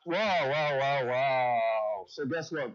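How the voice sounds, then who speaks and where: A man's voice: medium pitch, slow pace. Man giving live updates over muffled audio. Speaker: live stream contributor. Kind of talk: casual live incident updates. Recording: dull lo-fi stream audio.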